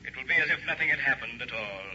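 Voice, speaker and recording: A man playing a commanding supernatural bargainer, measured and deliberate, in an old radio drama. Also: mid-range voice, pushed and bright. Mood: commanding, sinister. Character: sinister male supernatural bargainer, commanding, unhurried. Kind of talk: 1960s radio drama acting. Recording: muffled old radio recording, faint noise.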